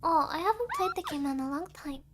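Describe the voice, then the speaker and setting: A woman's voice: sits high, expressive, fast talker, noisy background. A woman streamer chatting casually and playfully in a cutesy manner, dropping Japanese words into her English. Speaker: streamer, VTuber.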